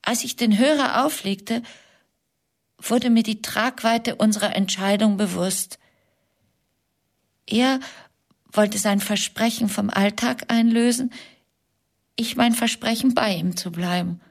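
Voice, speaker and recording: An actress playing a grieving middle-aged widow in a German radio drama, speaking in a hushed, halting, confessional way with pauses between short phrases. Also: female voice, medium pitch, creaky. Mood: pained confession. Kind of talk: German radio drama acting, intimate monologue.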